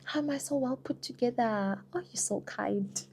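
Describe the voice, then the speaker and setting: A woman's voice: on the high side, moderate pace, gravelly, a little mellow. A young South African woman answering viewer questions in a casual, chatty vlog, often saying "girl" and "you know". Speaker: vlogger.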